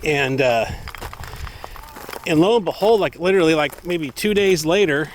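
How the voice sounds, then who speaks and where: Guy vlogging outside while walking, kinda higher voice, chatty rambling storytelling, bit of traffic noise in back. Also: lively intonation, normal speed. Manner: rambling, conversational. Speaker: vlogger, former online knife maker. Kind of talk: casual storytelling vlog. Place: outdoors, walking dogs in an urban area. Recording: outdoor ambience and traffic noise. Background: faint outdoor and vehicle noise.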